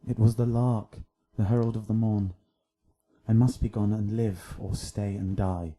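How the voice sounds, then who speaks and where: Man acting sad tender young husband, shakespeare radio play, really dark soft voice, solemn. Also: fairly low pitch, steady tone, measured pace, natural voice. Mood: resigned, tender. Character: Romeo, tender, sorrowful young husband parting.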